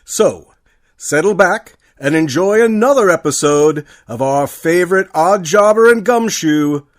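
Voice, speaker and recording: A man's voice: sits high, lively intonation, unhurried. A man announcing an old-time radio detective show as a scripted introduction.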